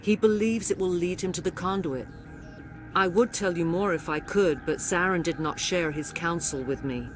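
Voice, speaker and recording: A woman playing a regal middle-aged alien matriarch in a video game, speaking in a grave, formal, measured way. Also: lowish voice, faint music behind, natural voice, poor audio. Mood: somber, confiding. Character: regal alien matriarch, a mother enthralled by a villain. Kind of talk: dramatic video game acting.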